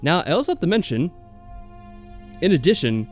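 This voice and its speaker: A man's voice: fairly high pitch, big pitch swings, moderate pace. A man hosting a let's play, chatting casually and a bit tentatively as he comments on a game and reads from it. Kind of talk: casual chat and game commentary, reading game text aloud. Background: game music behind the voice.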